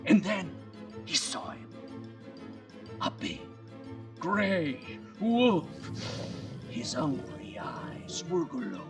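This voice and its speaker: A woman hosting and reading children's bedtime stories in an animated, theatrical way with dramatic pauses. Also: lowish voice, distant mic, music in the background. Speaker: children's bedtime storyteller and host. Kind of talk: animated scripted storytelling for children.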